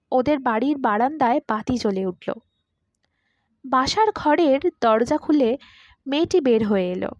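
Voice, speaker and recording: A woman reading a literary short story aloud in an expressive, dramatised narrating style. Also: high-pitched, no room sound. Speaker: story reader.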